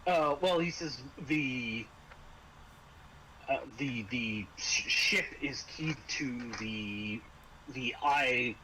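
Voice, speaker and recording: A man runs a tabletop game as game master, improvising narration at a slow pace. He pauses often mid-phrase. There is some background noise behind him. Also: mid-range voice, sharp tone, poor audio. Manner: halting, pausing mid-phrase.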